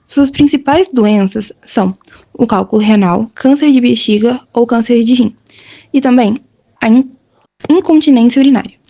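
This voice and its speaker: A woman's voice: fairly high pitch, moderate pace, warm tone. A woman with a Brazilian Portuguese accent, a student reading a school presentation and interview questions. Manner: reads from a script, plain and careful.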